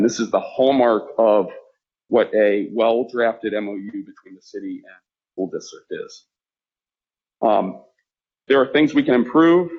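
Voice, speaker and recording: A man's voice: mid-range voice, highs cut off. Man presenting formally at a board meeting. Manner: measured, with frequent fillers and restarts. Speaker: school district official.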